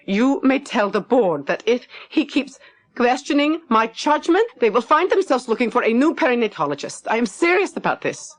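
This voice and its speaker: A woman's voice: a little high, very animated, normal speed, pitched above the performer's own voice. A woman narrator voicing a snappish, severely logical woman doctor in her late fifties in an audiobook story. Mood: indignant. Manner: snappish, emphatic, cutting. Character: sharp, indignant woman doctor of fifty-eight, severely logical.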